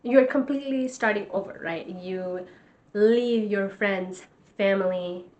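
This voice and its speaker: A woman's voice: medium pitch, measured pace, a little mellow, dull audio. Earnest young woman. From Nepal. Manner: earnest, encouraging, motivational. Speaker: vlogger and life coach. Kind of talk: casual talk to camera.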